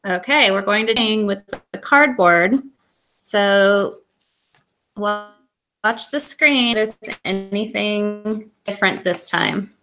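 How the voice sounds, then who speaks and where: A woman's voice: medium pitch, slow pace, a bit bright, low-quality recording. A woman running a science demonstration for students, giving short, simple instructions. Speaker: guest demonstrator.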